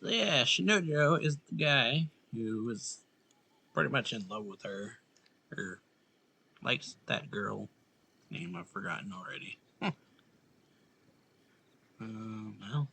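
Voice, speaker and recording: A man's voice: medium pitch, slow, vocal fry, pauses often, pushed and bright, husky. A man giving offhand, rambling let's play commentary as a gaming streamer.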